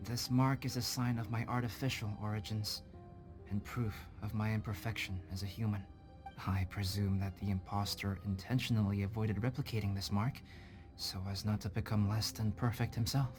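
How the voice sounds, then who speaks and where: A man voicing a calm, scholarly young alchemist in a video game, speaking in a measured, articulate, contemplative way. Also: lowish voice, natural voice. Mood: calm, explanatory. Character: calm, scholarly young male alchemist, artificially created human. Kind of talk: scripted English video game voice acting. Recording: prominent game music behind voice.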